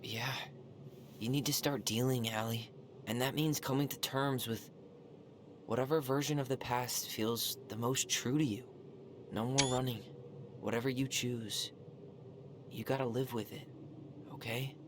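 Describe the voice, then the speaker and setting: A man's voice: mid-range voice, fairly even intonation, measured pace, long pauses, background noise, close to an everyday voice. An actor playing an earnest, supportive young man in a video game, speaking frankly and casually. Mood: firm, earnest. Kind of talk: naturalistic video game cutscene acting.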